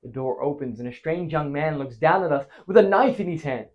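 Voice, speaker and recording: A male actor playing a flustered married man in a theatrical comic monologue, stammering and pausing dramatically as he recounts a disastrous night. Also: on the high side, animated, dull audio, natural voice. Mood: frantic, flustered. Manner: stammering, dramatic pauses, big emotional swings.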